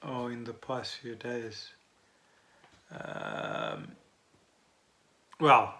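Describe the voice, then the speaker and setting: A man co-hosting a casual podcast, speaking in a halting, unscripted way. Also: mid-range voice, wildly swooping pitch, gravelly, pushed and bright. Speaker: podcast co-host. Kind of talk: casual conversational podcast chat.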